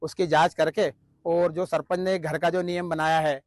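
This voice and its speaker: A man's voice: fairly high pitch, flat delivery, normal speed, no echo, studio quality. A man giving a statement to a news camera as a community representative.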